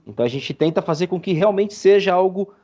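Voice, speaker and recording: A man's voice: sits high, animated, fast, warm tone. A man speaking as a guest in a casual interview, explaining things informally. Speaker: podcast guest, audio drama creator.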